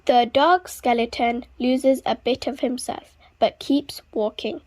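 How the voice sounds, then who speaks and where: A woman reading a children's story aloud expressively, with deliberate, dramatic emphasis on repeated words. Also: fairly high pitch, steady tone, music in the background. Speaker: children's book reader.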